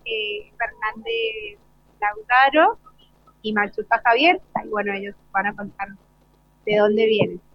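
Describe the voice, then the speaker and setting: A woman's voice: a little high, expressive, slow pace. A woman with an Argentine accent, a schoolteacher speaking hesitantly in an unscripted live interview. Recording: dull lo-fi live broadcast sound.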